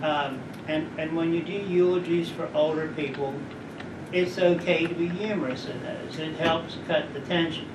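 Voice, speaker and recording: A middle-aged man with a fairly high voice speaks to a class in a rambling, anecdotal way and keeps putting questions to the listeners. He is picked up from a distance in an echoey lecture room. A steady hum of background noise runs underneath. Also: moderate pace. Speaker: guest speaker. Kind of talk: informal lecture to students.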